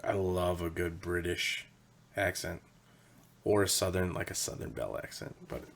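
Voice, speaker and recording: This is a man from Indiana, co-hosting a casual podcast. He has a low, gravelly voice. He asks questions and answers in quick, short bursts. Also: lively intonation, slight background noise. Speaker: podcast co-host. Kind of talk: casual chat.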